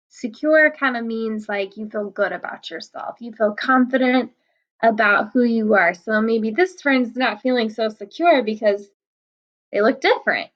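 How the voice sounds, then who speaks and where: Slow, gentle woman reading to kids. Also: a little high, dull audio. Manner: slow, gentle, explaining to children. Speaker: children's book reader. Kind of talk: picture book read-aloud.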